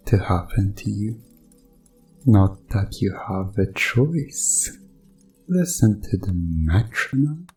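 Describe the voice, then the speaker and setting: A man playing a sinister, condescending evil doctor in a hypnosis ASMR roleplay, coaxing with mock reassurance and deliberate pauses. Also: lowish voice, darkish tone.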